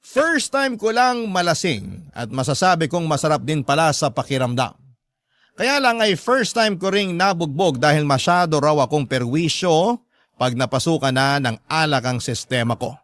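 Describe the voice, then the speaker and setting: Hurt youngest son, slightly high voice, earnest plaintive storytelling, radio drama narration, dry close sound. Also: male voice, big pitch swings, moderate pace, a bit bright, no echo. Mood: hurt, resentful. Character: aggrieved youngest son recounting his hard life. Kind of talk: first-person radio drama narration of a letter.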